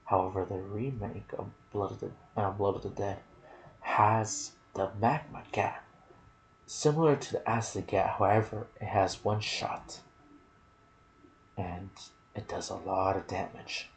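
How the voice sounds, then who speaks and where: A soft-spoken man hosting an ASMR video about game lore, with a hushed delivery that slips into whispers. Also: on the low side, unhurried, a little hiss or hum, poor audio. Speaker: video host and gaming content creator.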